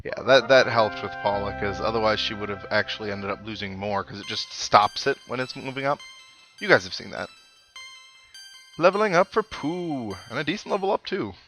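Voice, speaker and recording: A man with a fairly deep voice commentates casually on a video game he is playing. His delivery is lively and varied in pitch as he thinks aloud and reacts. Loud game music plays behind him. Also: fairly quick, low-quality recording. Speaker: let's play host.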